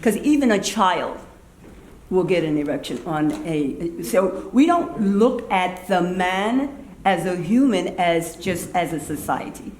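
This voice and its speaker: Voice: mid-range voice, expressive, unhurried, a little mellow. An audience member giving an earnest opinion at a theater talkback, often restarting sentences while searching for words. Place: theater event space, indoors. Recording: echoey room, faint background noise.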